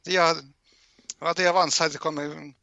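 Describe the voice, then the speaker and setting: A man's voice: on the high side, fairly even intonation, normal speed, sharp tone, no echo. A man with a Latvian accent answering in a live interview, nervous and stammering, repeating his words, over a slightly dull connection. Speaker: interview guest.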